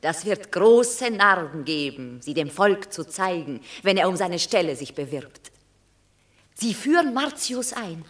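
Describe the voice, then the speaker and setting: A woman's voice: lowish voice, very animated, unhurried. An actress playing a proud, exultant Roman mother of a war hero in a classical radio drama, stately and declamatory. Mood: triumphant.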